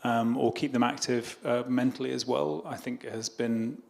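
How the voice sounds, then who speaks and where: A middle-aged British diplomat answering questions at a public event. He speaks at an ordinary middle pitch, hesitant and unpolished, circling back over his own words as he goes. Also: steady tone, normal speed, creaky, a little mellow. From Britain. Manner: hesitant, restarts and repeats words.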